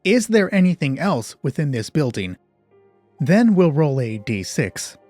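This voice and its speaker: A man narrating a solo tabletop horror roleplay as game master in measured, short descriptive phrases. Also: a little high, sing-song, a little mellow, no echo. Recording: music behind the voice. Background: music.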